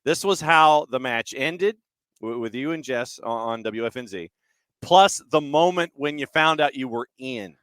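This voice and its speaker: A man's voice: medium pitch, expressive, unhurried, fairly bright tone, clear, resonant tone, dry recording. A man hosting a sports radio show, talking casually as he sets up a clip.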